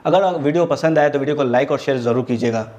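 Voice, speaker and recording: Man, Indian accent, medium pitch, tutorial narration, step-by-step explainer, English terms mixed in. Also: fast. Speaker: presenter of an educational tech video series.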